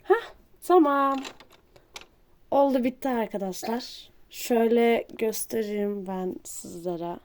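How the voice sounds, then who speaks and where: A person chatting casually to viewers while drawing, halting and often breaking off mid-thought. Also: mid-range voice, darkish tone, smooth. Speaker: host of a drawing channel.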